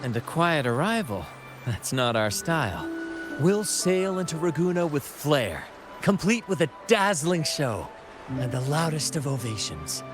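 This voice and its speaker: A man's voice: fairly high pitch, sing-song, a little slow. A flamboyant carnival showman over music. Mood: showy confidence. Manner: breezy, showy, playful. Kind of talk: video game cutscene acting.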